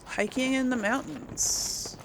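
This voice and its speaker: Slow, creaky-voiced woman thinking aloud. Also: high voice, fairly even intonation, background noise. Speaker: gamer, series host. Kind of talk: casual game playthrough commentary with role-played dialogue.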